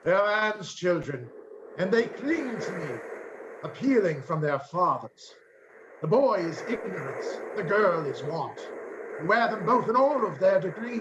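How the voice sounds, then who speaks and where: Muffled, roomy call with music behind: male actor as dying Christmas spirit, high, slow, solemn and foreboding. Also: background noise, heavily acted. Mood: grave warning. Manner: grave, declamatory, foreboding. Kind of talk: radio play acting, live reading.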